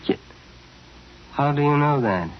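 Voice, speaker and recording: An actor in an old-time radio drama playing a nervous, lonely, murderous department-store window dresser who stammers and hesitates. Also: male voice, a little high, expressive, a little slow. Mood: nervously solicitous. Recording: muffled, hissy vintage radio recording.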